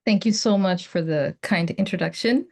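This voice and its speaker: A woman's voice: mid-range voice, normal speed, darkish tone. A woman giving an academic seminar talk as a guest researcher, explaining her work with informal asides. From Bangladesh. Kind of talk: academic presentation.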